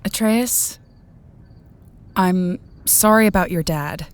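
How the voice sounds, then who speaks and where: A woman's voice: lowish voice, slow. Young female trucker, weary, caring, hesitant, reassuring, audio drama. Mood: gentle sympathy.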